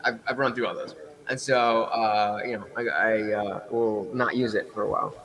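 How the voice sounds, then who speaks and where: A man's voice: on the low side, fairly even intonation, moderate pace, fairly bright tone, poor audio. A young man co-hosting a podcast, chatting casually, rambling with restarts and frequent laughter. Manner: rambling, self-interrupting, laughs often. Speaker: podcast co-host. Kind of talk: casual banter.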